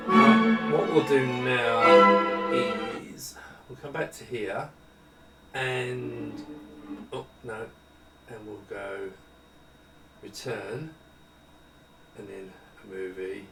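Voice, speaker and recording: A man's voice: mid-range voice, drawn-out words, long pauses. A man giving an unscripted, casual product demo, hesitant and thinking aloud as he works, recorded on a microphone set away from him. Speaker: presenter demonstrating a monitor product. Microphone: camera-side microphone set away from him. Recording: roomy, distant microphone with music. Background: music from demonstrated speakers.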